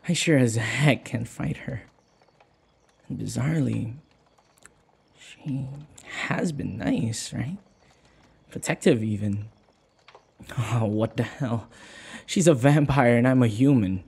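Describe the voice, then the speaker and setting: A man's voice: medium pitch, slow, creaky, pauses often, a little mellow. A young man narrating a nervous, bewildered first-person audio roleplay, like reading a journal entry aloud. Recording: roomy reverberant echo.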